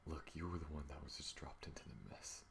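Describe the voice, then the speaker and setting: A man playing a protective spaceship pilot on the run in an intimate ASMR audio roleplay, speaking earnestly and haltingly. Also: rumbling low, gravelly, fairly bright tone, a little hiss or hum, full character voice. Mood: conflicted, tender.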